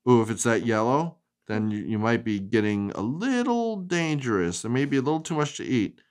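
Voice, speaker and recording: A woman reading a storybook aloud to a young child in an expressive, sing-song way. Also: extremely low pitch, normal speed. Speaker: storybook reader.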